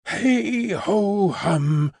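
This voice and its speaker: A man's voice: high-pitched, big pitch swings, measured pace, darkish tone, breathy edge, dry recording, studio quality, voice pushed up, heavily acted. A man voicing a fussy, fiercely loyal Englishwoman housekeeper who talks emphatically and primly. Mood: emphatic. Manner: emphatic, bustling, prim.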